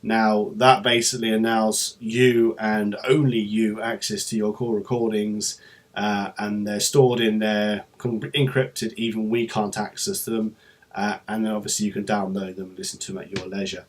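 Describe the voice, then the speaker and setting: A man's voice: on the low side, flat delivery, normal speed. A man presenting an informal, unscripted company explainer vlog, talking in a hesitant, rambling way with trailing asides.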